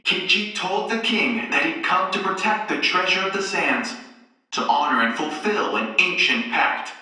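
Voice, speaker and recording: An actor playing an excitable theme park announcer who tells children's stories with theatrical, showy drama. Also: male voice, a little high, very echoey, recorded from a distance, full character voice, rough recording.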